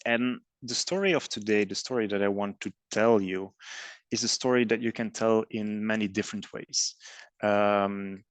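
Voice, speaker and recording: A middle-aged man from Belgium giving a presentation on design, pausing often with ums and uhs. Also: lowish voice, smooth, no echo. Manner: hesitant, many ums and uhs. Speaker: design studio head and master's coordinator. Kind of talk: presentation talk with slides.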